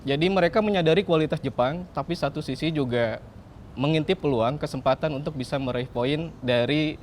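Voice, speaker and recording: A man giving football analysis in conversation, measured and explanatory. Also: a little high, darkish tone, slight background noise. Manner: measured, explanatory, uses filler "gitu".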